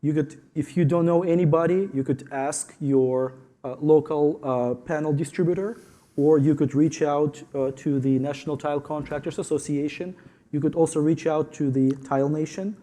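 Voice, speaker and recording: Ukrainian-accented man, mellow voice. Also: fairly high pitch, steady tone, normal speed. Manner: earnest, storytelling with repeated restarts. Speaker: tile installer on a panel. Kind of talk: conference panel talk.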